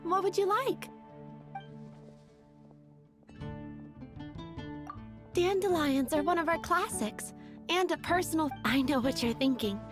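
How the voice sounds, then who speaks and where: A sweet young flower seller from a video game. Her voice is extremely high, with a hesitant, eager-to-please, easily flustered manner, and music plays clearly behind her. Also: moderate pace. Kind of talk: animated video game voice acting.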